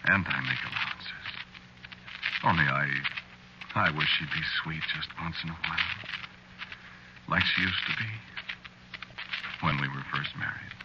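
A man's voice: very deep voice, unhurried, pauses often. A man playing a meek, worried working husband, narrating and acting in a 1940s radio drama in a hesitant, mild, conversational way. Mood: wistful, confiding. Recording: very noisy, muffled 1940s radio recording.

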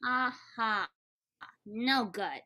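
Shrill, bratty little girl. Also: extremely slow, pushed and bright, full character voice. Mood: sulky. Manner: childish, wheedling. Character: mischievous, bratty little girl pestering her daddy. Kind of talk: old-time radio comedy acting.

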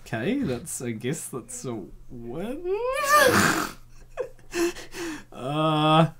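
A man narrating a comic dub and cracking jokes between panels. He laughs often, and his pitch jumps around a lot. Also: extremely slow. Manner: joking, laughs often, animated. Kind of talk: narration with joking commentary.

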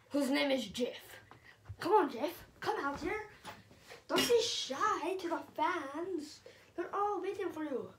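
A woman's voice: high-pitched, a bit bright, slight background noise. A young girl chanting and talking playfully while dancing and jumping around her bedroom, recorded on a phone on the floor. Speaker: performer of a homemade dance video. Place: bedroom at home.

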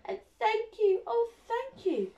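Woman acting a shrill, excitable storybook witch. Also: slow pace, dull audio, exaggerated voice. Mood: grateful. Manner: shrill, exclaiming. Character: excitable female witch, quick to cry out.

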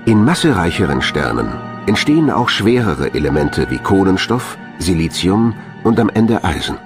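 A man's voice: low-pitched, very animated, normal speed, music in the background. A man reading scripted science documentary narration as a studio voice-over.

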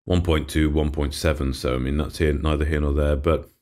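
A podcast host with a very low, deep voice, slightly thickened by a cold. He talks in a loose, chatty way, with easy laughs and plenty of fillers as he runs through the year. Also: little pitch movement, a little fast, darkish tone. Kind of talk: casual investment podcast chat.

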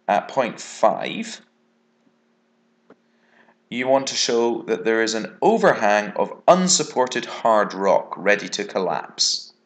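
A British male geography teacher talks through an exam answer over slides. His voice sits fairly low, but his intonation moves a lot. He explains step by step in a measured, instructive manner. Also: big pitch swings. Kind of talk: exam revision lesson narrated over diagrams.

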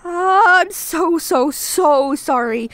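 A woman's voice: extremely high pitch, takes it slowly, a bit bright, dry recording, full character voice. A woman playing a clumsy, flustered young barista who stammers and apologizes in an audio roleplay. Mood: flustered apology. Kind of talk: ASMR roleplay acting.

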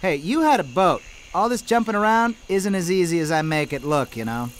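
High, excitable goofy panda hero. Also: male voice, brisk, a little hiss or hum. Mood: casual. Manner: bumbling, boastful, slangy. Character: goofy, eager, boastful young panda kung fu hero. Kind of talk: animated game dialogue.

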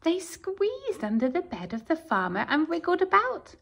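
A woman teacher reading a picture book aloud to her pupils in an empty classroom, with expressive storytelling. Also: very high voice, normal speed, creaky, slight background noise.

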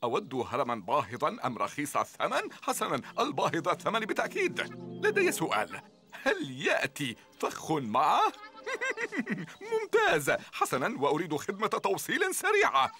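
A man's voice: wildly swooping pitch, moderate pace, pushed and bright, exaggerated voice. A sly, gleeful villain from a children's TV show. He cackles and gloats, and his voice leaps all over its range. Music plays under him. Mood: scheming. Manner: theatrical, cackling, wildly swooping pitch. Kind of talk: Arabic dub of a children's TV show.

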